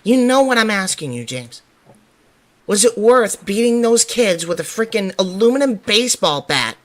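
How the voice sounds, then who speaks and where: A woman's voice: mid-range voice, big pitch swings, moderate pace, close to an everyday voice. A woman playing a stern, worried mother in an audio drama, questioning her teenage son with exasperation. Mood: stern, controlled anger. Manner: scolding, pointed questioning. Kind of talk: amateur audio drama roleplay.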